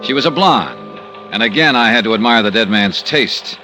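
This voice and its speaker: Casting: wry, persistent American private investigator, old-time radio drama, animated delivery with a bright, forceful edge. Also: male voice, on the low side, faint music behind, heavily acted. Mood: wry narration. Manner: brisk, wry, probing questions. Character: shrewd, persistent male freelance insurance investigator. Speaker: lead actor and first-person narrator. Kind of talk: 1950s radio detective acting.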